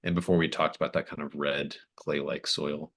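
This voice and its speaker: A game master improvising narration for a tabletop roleplaying game in a halting, thoughtful way. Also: very deep voice, little pitch movement, a bit bright.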